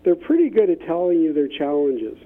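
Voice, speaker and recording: A man explaining ideas conversationally as an interview guest, with halting phrasing and repeated words, over a phone-like line. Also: a little high, big pitch swings. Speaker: interview guest, business innovation consultant. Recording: tinny, band-limited phone-like line.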